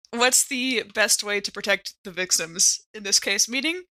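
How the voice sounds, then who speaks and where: A woman with a bright, forward voice plays a tabletop role-playing game. She talks casually, thinking aloud through her moves, and the sound is close and dry. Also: mid-range voice, lively intonation, a little slow, no room sound.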